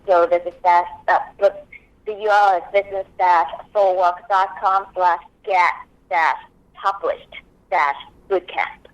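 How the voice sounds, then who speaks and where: A woman's voice: lowish voice, fairly even intonation, a little slow, highs cut off. A woman from Hong Kong whose first language is Cantonese, a copywriting expert explaining things step by step as a podcast guest. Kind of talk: podcast interview.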